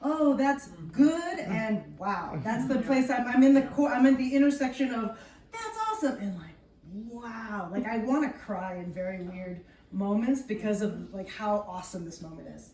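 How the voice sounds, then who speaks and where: A woman's voice: a little high, normal speed, darkish tone. Bubbly woman presenting in an echoey room. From United States. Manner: bubbly and enthusiastic, laughing often. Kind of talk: speaking to a live group. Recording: roomy, distant mic, some noise.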